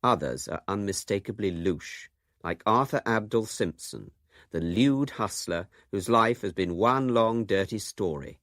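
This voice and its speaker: A man's voice: fairly low pitch, animated, a little slow, fairly bright tone, no echo. A man presenting a radio documentary in scripted, literary narration with dry humour.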